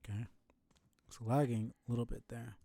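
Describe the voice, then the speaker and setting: A young man streaming a video game, muttering low-key commentary to himself and swearing when things go wrong. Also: flat delivery, fairly quick, vocal fry, warm tone, no room sound. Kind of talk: casual gameplay commentary.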